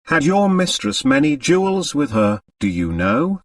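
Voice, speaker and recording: A man voicing a formal French magistrate in an audiobook, measured, polite and inquiring. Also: fairly high pitch, very animated, dry recording, distant mic, pitched above the performer's own voice. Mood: probing. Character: smooth, formal French examining magistrate, courteous and probing.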